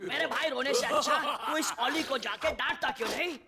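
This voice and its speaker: Woman as a high-pitched bossy cartoon tomcat. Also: a little fast, over a noisy crowd. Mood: egging on. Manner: blunt, hectoring, buddy-like. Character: bossy, brash, brotherly male cartoon cat. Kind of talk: animated cartoon dub. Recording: loud music behind. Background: loud cartoon music.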